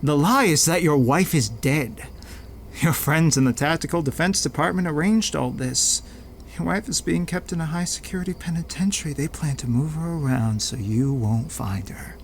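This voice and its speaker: Man playing a smug, high-pitched crime lord. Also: slight background noise, close to an everyday voice. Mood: coolly persuasive. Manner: pompous, taunting, drawn-out mock politeness. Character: smug, menacing male crime lord, theatrical negotiator. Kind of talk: audio drama villain acting.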